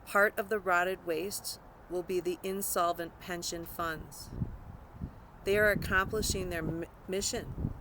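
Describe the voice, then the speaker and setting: A woman's voice: lowish voice, fairly bright tone, low-quality recording. Woman reading nonfiction, faint background noise. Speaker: audiobook narrator. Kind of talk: scripted nonfiction narration, read aloud.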